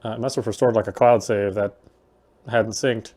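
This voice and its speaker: A man's voice: lowish voice, fairly even intonation, moderate pace, a little mellow. A man giving casual gameplay commentary, thinking aloud in a chatty way. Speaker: game streamer and host.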